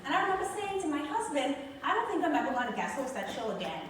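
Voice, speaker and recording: A woman with a Bahamian accent and a fairly high voice delivers a motivational speech from a stage. She speaks emphatically, drawing out key words. The sound is very echoey and a little distant, with clear background noise. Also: big pitch swings, quick pace. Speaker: motivational speaker and show host. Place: large venue on stage with live audience.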